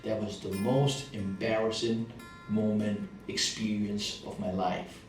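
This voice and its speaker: A man's voice: fairly low pitch, flat delivery, slow, distant mic. Earnest Indian man in an echoey room. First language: not English. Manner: earnest, emphatic, repeats phrases for weight. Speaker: motivational speaker. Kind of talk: reflective motivational monologue. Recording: very echoey room, voice off-mic, soft guitar music behind.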